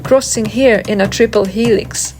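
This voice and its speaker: A woman's voice: fairly high pitch, fairly even intonation, normal speed, a little mellow. A woman reading a scripted documentary narration in a reverent, poetic manner. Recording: music behind the voice. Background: prominent music.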